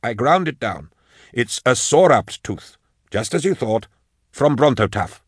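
A man's voice: mid-range voice, big pitch swings, measured pace, breathy edge, dry recording, clean studio sound, pitched above the performer's own voice. A man voicing a terse, matter-of-fact backstreet alchemist in an audiobook. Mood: brusque. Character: brusque backstreet alchemist adept.

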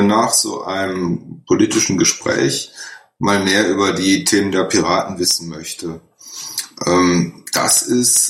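A man in his forties, a newspaper editor, explaining things in conversation with frequent hesitations. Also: fairly low pitch. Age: 40s. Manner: hesitant, many fillers. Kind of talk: casual conversation. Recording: roomy echo.

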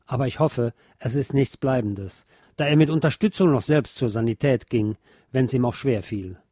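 Man, narrator, scripted reading, mellow timbre, very little room sound. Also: medium pitch, moderate pace, no echo, clean studio sound. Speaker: narrator reading a historical letter and credits.

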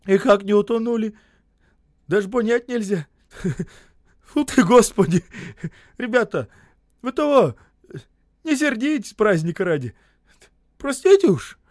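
A man voicing a wily old peasant in a story, shrill and querulous, wailing in folksy exclamations with a breaking voice. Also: a little mellow, dry recording, clean studio sound. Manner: wailing, whining, exclamatory folk speech.

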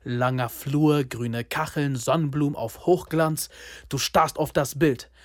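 A man's voice: fairly high pitch, fairly even intonation, unhurried, dry recording, studio quality. A young man narrating a radio play in the second person, terse and sardonic, often breaking off to restart the scene.

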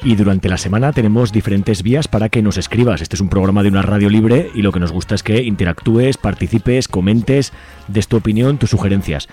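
A man's voice: fairly low pitch, fairly quick. A man with an accent from Spain hosting a live community radio show informally, chatting directly to listeners. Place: radio studio. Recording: music playing behind the voice. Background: lively music.

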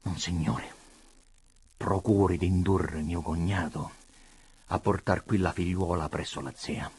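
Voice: medium pitch, expressive, moderate pace, fairly bright tone, breathy edge. A reader voicing a proud, hot-tempered, embittered old prince in a commanding, declamatory audiobook style. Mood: bitter. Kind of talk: dramatic audiobook character reading.

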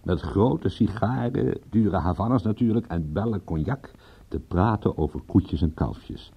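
An actor playing a wry, unflappable private detective in a radio drama, with a husky voice and a dry, sardonic manner. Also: male voice, low voice, unhurried, muffled recording, full character voice. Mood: wry narration. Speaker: narrator and lead character. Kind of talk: radio drama acting with narration.

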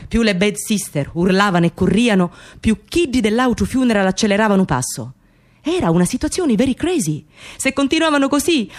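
An actress playing an excitable, gossipy immigrant woman with a Sicilian accent, telling a story in a theatrical radio monologue that mixes dialect with broken English. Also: on the high side, natural voice. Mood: excited, mocking.

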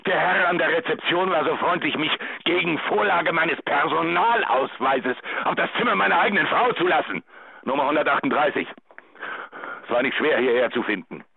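An actor playing a bitter, jealous husband in a German radio drama, raspy and halting, as a phone message. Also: male voice, on the high side, dry recording. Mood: cold, bitter. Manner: halting, menacing, anguished. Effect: answering machine phone message. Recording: thin phone line.

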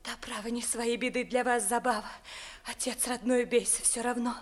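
An actress playing a high-strung, lovestruck, imperious young noblewoman in emotional 1950s radio theatre verse. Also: fairly even intonation, normal speed, lightly acted. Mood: agitated, reproachful.

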